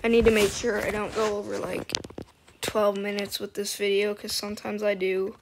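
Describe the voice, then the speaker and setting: Woman, quiet and scratchy, loose trailing-off game chatter; gravelly tone over a noisy recording. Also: medium pitch, flat delivery, normal speed. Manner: quiet, soft-spoken, trailing off. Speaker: gaming video creator. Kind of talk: rambling casual commentary over gameplay.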